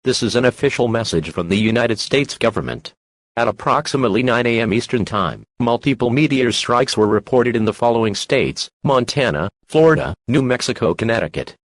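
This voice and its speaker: A man reading a scripted emergency broadcast announcement in a formal, measured, impersonal manner. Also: medium pitch, expressive, dry recording.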